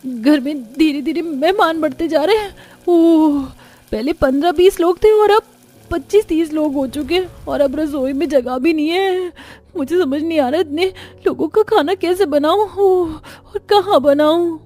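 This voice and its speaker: A woman's voice: sits high, a little slow, a little mellow. A woman voicing an anxious young daughter-in-law in a Hindi cartoon family drama, with plaintive, dramatic complaining. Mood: worried, flustered.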